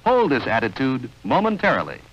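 A man reading scripted 1940s training film narration in a measured, instructional, clearly enunciated manner. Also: mid-range voice, wildly swooping pitch. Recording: dull, band-limited vintage film soundtrack.

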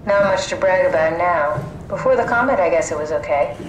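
An actress playing a brisk, matter-of-fact scientist companion in a sci-fi game, with a businesslike way of talking. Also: female voice, fairly low pitch, lively intonation, highs cut off, lightly acted. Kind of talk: video game voice acting. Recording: echoey, noisy machinery ambience.

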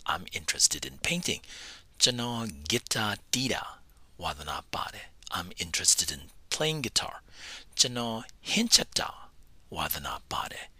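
A man teaching a beginner language lesson, repeating each phrase slowly and letting his phrase endings fall away breathily. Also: low voice, big pitch swings, pushed and bright, husky, no room sound. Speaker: language instructor. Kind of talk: slow, deliberate language lesson narration.

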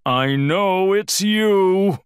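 A man's voice: sits high, very slow, smooth, no room sound, voice pushed up. A man voicing a fussy, grumpy bear from a storybook, with an exasperated, theatrical delivery. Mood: nervous, growling. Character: grumpy, fussy, dramatic male bear wanting quiet.

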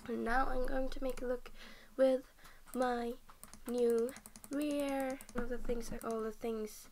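Sleepy young girl, slow and half-whispered. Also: a little high, level tone, darkish tone, a little hiss or hum. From Norway. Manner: rambling, self-interrupting, giggly, often half-whispered. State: tired and just woken up, throat clearing. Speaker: game vlogger. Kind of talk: casual screen-recorded game commentary.

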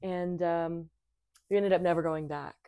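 Young woman guest, low voice, dry close sound, relaxed chatter with fillers. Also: measured pace, clear, resonant tone, dry recording. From Thailand. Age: 20s. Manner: chatty, many fillers and restarts. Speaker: podcast guest. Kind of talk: casual conversation.

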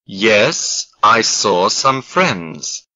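A man reads English drill sentences very slowly, pausing between each phrase. His voice is bright and sharp, and the recording sounds a little dull and lo-fi. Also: lowish voice, very animated. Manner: slow, carefully spaced model sentences. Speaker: English lesson voice. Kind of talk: slow scripted language drill.

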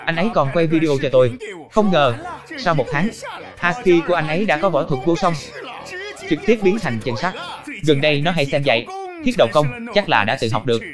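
A man voicing a chatty, excitable character in a dubbed cartoon. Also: a little high, a little fast, natural voice. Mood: amused storytelling. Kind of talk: dubbed cartoon dialogue and storytelling. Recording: music under the voice. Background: music.

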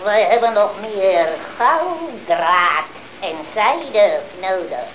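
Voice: medium pitch, big pitch swings, drawn-out words, a bit bright, a little hiss or hum, heavily acted, low-quality recording. An actor playing a sly, swindling weaver with an ingratiating manner in a Dutch audio fairy tale.

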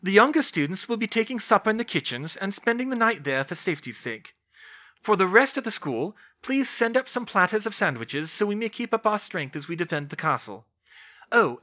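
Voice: mid-range voice, big pitch swings, brisk, bright, forceful tone, voice pushed up. A narrator voicing an older female witch professor with a formal, commanding manner, in a fantasy audiobook.

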